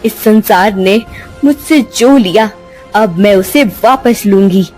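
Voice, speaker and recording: A woman's voice: mid-range voice, takes it slowly, a little mellow, faint music behind, natural voice. A woman putting on a vengeful serpent spirit. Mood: gloating. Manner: gloating, menacing. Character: vengeful female serpent spirit, cunning and proud. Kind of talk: cartoon story dub.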